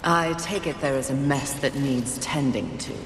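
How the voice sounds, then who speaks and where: A woman's voice: extremely low pitch, moderate pace, noisy background. A woman voicing a bored, mocking, bloodthirsty horseman of the apocalypse in a video game, haughty and sardonic. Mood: sardonic, confident. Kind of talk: video game cinematic voice acting.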